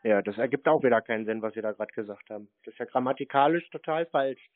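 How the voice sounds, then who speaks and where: A man's voice: mid-range voice, quick pace, no echo. A man arguing on a phone call in a contrarian, pedantic manner. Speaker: scam-baiter taking calls. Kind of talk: argumentative phone conversation. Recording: narrow, dull phone line.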